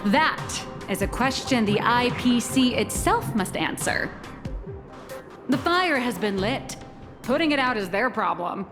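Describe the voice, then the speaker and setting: A woman's voice: medium pitch, close to an everyday voice. An actress playing a confident young woman strategist who talks in an assured, persuasive, reasoning way. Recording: game voice-over with reverb and music.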